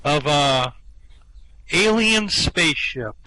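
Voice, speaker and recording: Man playing a sharp, highish, flustered earthman. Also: animated, slow, exaggerated voice, low-quality recording. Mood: aggrieved, plaintive. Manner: plaintive, aggrieved, protesting. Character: flustered, indignant ordinary earthman, plaintive complainer. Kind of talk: comic sci-fi radio play acting.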